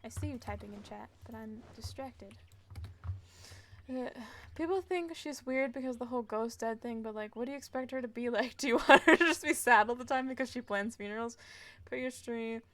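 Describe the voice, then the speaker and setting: A woman's voice: a little high, fairly quick, a bit bright. A woman streaming a game, giving casual live commentary in a giggly, gushing way and reacting aloud as she plays. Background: game music.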